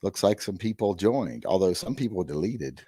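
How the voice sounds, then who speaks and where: A middle-aged man with a hoarse, gravelly voice hosting a rambling live-stream chat with wry, self-deprecating asides. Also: low-pitched, very animated, normal speed, mellow, no room sound, studio quality. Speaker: host.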